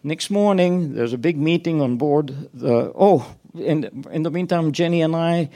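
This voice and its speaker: A man's voice: a little high, unhurried, warm tone. An older man from Chile whose first language is Spanish, telling a rambling personal story on stage, chuckling and restarting his sentences.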